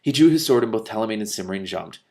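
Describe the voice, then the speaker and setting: A man's voice: on the low side, expressive, rapid-fire, vocal fry, a little mellow. A man reading a story aloud expressively, with some casual commentary of his own. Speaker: book reader and commentator.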